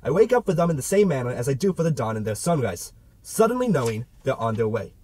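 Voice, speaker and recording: A man's voice: mid-range voice, big pitch swings, fast talker, mellow. A man narrating a literary novel as an amateur audiobook reader, with a theatrical delivery.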